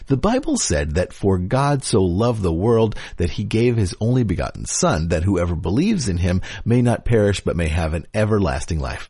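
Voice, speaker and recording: A man's voice: on the low side, darkish tone, slightly rough, no echo, clean studio sound. A man reading internet forum posts aloud in a declamatory, theatrical way. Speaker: podcast reader.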